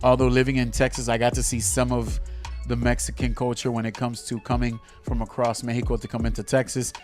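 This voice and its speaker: A man from Miami co-hosting a podcast, talking music in an animated, slangy way with frequent restarts. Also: medium pitch, fairly quick. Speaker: podcast co-host. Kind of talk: casual music chat.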